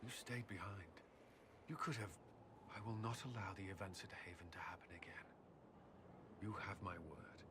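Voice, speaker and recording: An actor playing a grave, dutiful military commander in a fantasy video game, speaking in a solemn, resolute manner. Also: male voice, deep voice, normal speed, pauses often, noisy background, lightly acted. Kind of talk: video game character acting.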